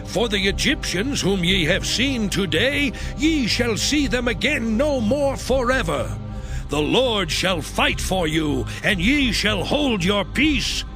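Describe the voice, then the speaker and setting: A man's voice: high voice, big pitch swings, takes it slowly, heavily acted. An actor, a man, playing a steadfast male prophet exhorting his people in a dramatized audio Bible. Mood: resolute, reassuring. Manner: declamatory, exhortatory. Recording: loud music under the voice. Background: loud music.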